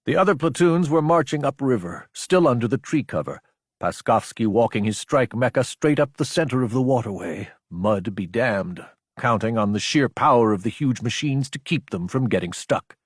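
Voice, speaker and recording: A man reading a dramatic audiobook narration in a measured, deliberate storytelling manner. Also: medium pitch, expressive, slightly rough, dry recording, studio quality.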